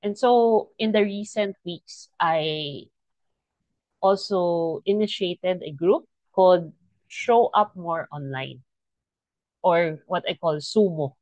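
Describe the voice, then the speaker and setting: Slow, earnest woman podcast host. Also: fairly low pitch, darkish tone, dry recording, low-quality recording. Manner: earnest, encouraging, with many restarts and pauses. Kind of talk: reflective faith podcast talk.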